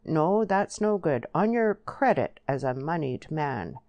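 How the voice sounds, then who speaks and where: A woman's voice: sits low, lively intonation, a little slow, a little mellow, no room sound. A woman voicing a smitten, earnest young countryman in a storybook, with blunt rural English dialect. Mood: firm.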